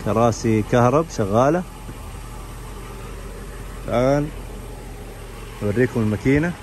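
A man's voice: mid-range voice, measured pace, background noise. A man, giving an informal walk-around commentary as a car auction presenter. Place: large indoor car showroom and workshop.

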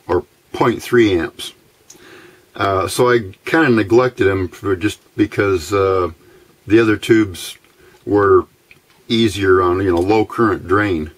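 Slow, low-voiced American man, slightly husky. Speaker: electronics hobbyist demonstrating a homemade receiver. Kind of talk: casual instructional narration. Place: indoors at a workbench. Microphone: camera microphone.

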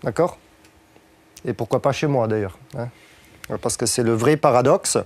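A man's voice: medium pitch, moderate pace. A man in his forties with a slight southwestern French accent, giving a training talk to farmers in a meeting room, chatty and full of fillers and tag questions. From southwest France. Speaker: farm trainer. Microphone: lapel mic.

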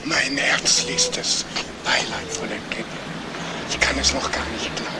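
An actor playing a solemn man who offers stiff condolences in a hushed, halting manner in a 1970s German crime radio play. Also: mid-range voice, huge pitch swings, very noisy. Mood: subdued.

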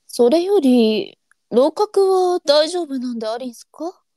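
Woman doing sad gentle old-japan courtesan, soft courtesan dialect, kinda high voice, demure period drama thing. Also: expressive, unhurried, dry recording, studio quality. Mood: concerned. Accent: courtesan arinsu dialect. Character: melancholy, tender-hearted adult courtesan of old Japan. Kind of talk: online live voice drama acting.

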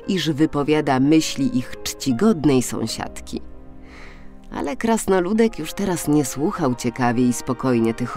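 A storyteller giving an expressive, dramatic scripted narration of a children's audiobook. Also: medium pitch. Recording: music under the voice. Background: music.

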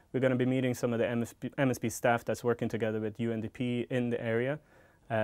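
A man's voice: mid-range voice, gravelly, darkish tone, no room sound. A man in his thirties giving a prepared introduction to camera as a program manager, recorded indoors on a lapel mic. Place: indoors, in front of a curtain. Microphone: clip-on lapel mic.

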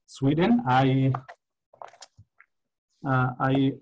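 A man from Zimbabwe giving an academic presentation over a video call, pausing often with "uh" fillers. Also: mid-range voice, darkish tone, a little hiss or hum. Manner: hesitant, many "uh" fillers. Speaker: guest speaker, economic historian. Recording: dull lo-fi video call audio.

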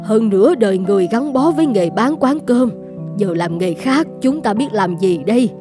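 A woman voicing a motherly older eatery owner in a cartoon, caring and gently insistent. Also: on the high side, expressive, normal speed, music in the background, lightly acted. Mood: worried. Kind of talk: animated moral-tale dubbing.

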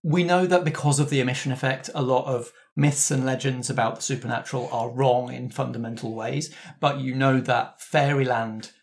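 A man narrates a tabletop roleplaying game as its game master. His delivery is conversational and descriptive, at a middle pitch. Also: fairly even intonation, normal speed, few pauses.

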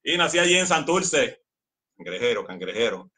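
A middle-aged Puerto Rican man with a Puerto Rican accent talks as a guest in a loose, exuberant way. His voice has a bright, forceful edge. He is picked up at a distance by a camera in a small room. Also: medium pitch, very animated, normal speed. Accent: Puerto Rican Spanish. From Puerto Rico. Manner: exuberant, laughs often. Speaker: musician guest. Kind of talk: casual interview chat. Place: small indoor studio room.

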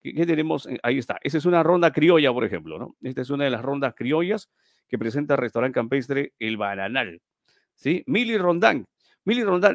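A man's voice: mid-range voice, gravelly, dry recording, studio quality. A middle-aged man hosts a live stream giveaway from a small room on a webcam, chatting, repeating himself and reading out viewers' names.

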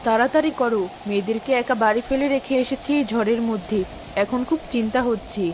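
A woman as an anxious, high-voiced village mother. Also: fast talker, natural voice. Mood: anxious nagging. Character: worried village wife and mother, cautious and caring. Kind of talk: dramatic Bengali animated story acting. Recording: rainstorm noise behind voice.

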